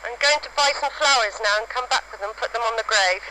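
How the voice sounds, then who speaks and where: A woman recording halting, spontaneous field notes outdoors on a cassette recorder, describing things piece by piece. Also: a little high, bright, forceful tone. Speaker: amateur family historian recording an audio diary. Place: outdoors in a cemetery. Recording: faintly noisy amateur cassette recording.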